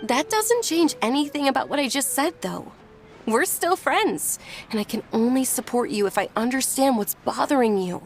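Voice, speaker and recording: A woman's voice: sits high, animated, moderate pace. Earnest young noblewoman. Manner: earnest, gently insistent. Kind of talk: video game voice acting. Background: game background music.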